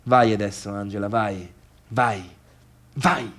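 An actor playing a bitter, unsteady failed man in a theatrical radio monologue, halting and repeating himself between orders and murmurs. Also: lowish voice, steady tone, a bit bright. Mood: wistful, subdued. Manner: repetitive, halting, swinging from barked orders to murmurs. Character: bitter, unsteady failed man dismissing his late guests.

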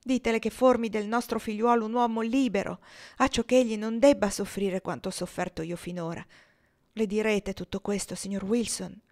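A woman's voice: medium pitch, fairly even intonation, moderate pace, darkish tone, pitched above the performer's own voice, close to an everyday voice. A woman voicing a desperate young husband who pleads and turns bitter, in a read-aloud story. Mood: urgent.

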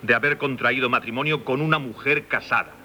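An actor with a Castilian Spanish accent playing an insistent, formally courteous examining magistrate in 1970s radio theatre. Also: male voice, mid-range voice, fairly quick, bright, forceful tone, slight background noise. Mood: stern, official. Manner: formal, probing, insinuating.

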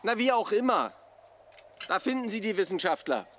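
An actor playing a blunt, complaining maintenance worker in a German radio drama. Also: male voice, sits high, very animated, moderate pace, a bit bright, a little hiss or hum. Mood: offhand. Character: gruff, grumbling male lamp maintenance worker.

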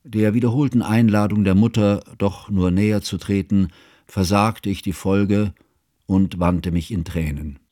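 An actor playing a grieving elderly scholar who narrates a literary radio drama in a measured, formal, elegiac manner. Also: male voice, fairly low pitch, steady tone, dark tone, dry recording. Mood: sorrowful.

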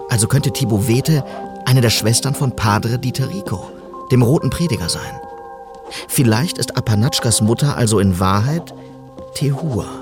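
An actor playing a pensive detective narrator in an Old West radio drama, reasoning aloud as if into a journal. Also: male voice, mid-range voice, natural voice. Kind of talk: scripted narration, journal-like monologue.

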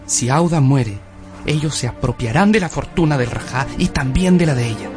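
An actor playing a wry, knowing guide in a radio drama, deferential and given to storytelling. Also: male voice, mid-range voice, expressive, moderate pace, darkish tone, natural voice. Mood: wry, explanatory. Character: knowing, wry local male guide, deferential to his master. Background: music.

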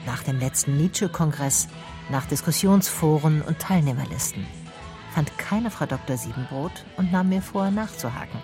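An actress playing a brooding, persistent private detective in a radio drama, measured and reflective. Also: female voice, sits low. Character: female private detective telling her own case, brooding, persistent. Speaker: narrator and lead. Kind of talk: radio drama first-person narration and dialogue.

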